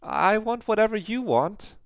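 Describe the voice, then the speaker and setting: A man puts on a shy teenage girl, pitched far above his own low voice. She stammers and pushes her words out, anxious and eager to please. The reading is smooth and clear. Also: lively intonation, measured pace, dry recording, clean studio sound, heavily acted. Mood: timid eager. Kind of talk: visual novel read-aloud.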